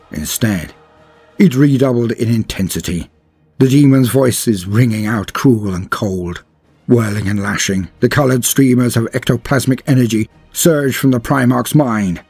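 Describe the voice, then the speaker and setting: A man's voice: fairly low pitch, darkish tone, breathy edge. A man giving a dramatic scripted narration of grim fantasy war lore, with a solemn, theatrical and emphatic delivery.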